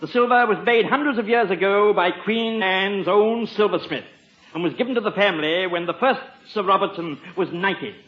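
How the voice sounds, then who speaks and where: Male British butler role, fairly high voice, impeccably formal and dry, played by an actor in vintage radio comedy, muffled echoey sound. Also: normal speed, lightly acted. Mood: polite, composed. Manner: formal, precise, deferential, dry. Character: very proper, dryly witty English butler. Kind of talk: 1950s radio comedy acting.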